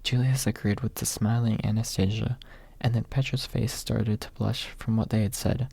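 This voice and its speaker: Soft, creaky ASMR male narrator. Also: fairly low pitch, fairly even intonation, moderate pace, no echo. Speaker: audiobook narrator. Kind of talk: ASMR audiobook reading.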